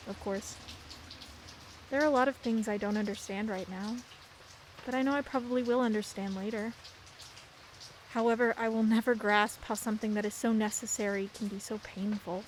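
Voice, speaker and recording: An actress playing a brooding, curt teenage daughter in a radio play, with inner monologue. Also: female voice, medium pitch, a little hiss or hum, natural voice, poor audio. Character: sullen teenage daughter, moody and blunt.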